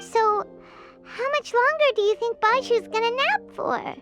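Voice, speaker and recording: A woman voicing a small, childlike fairy companion in a video game, excitable and full of questions. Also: very high-pitched, unhurried, soft background music. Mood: concerned. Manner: exclamatory, questioning. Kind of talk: video game character voice acting.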